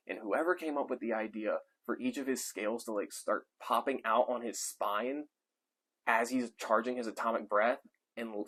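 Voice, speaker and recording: A man's voice: lowish voice, fairly bright tone. A young man giving an enthusiastic, rambling movie review off the cuff, with frequent fillers. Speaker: film reviewer. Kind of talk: casual unscripted movie review.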